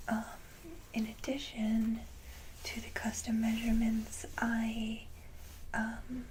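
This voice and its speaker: A woman hosting an ASMR video, speaking casually in a breathy, gentle whisper, in short halting phrases. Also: on the high side, a little hiss or hum, low-quality recording. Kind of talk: soft-whispered ASMR, casual show-and-tell.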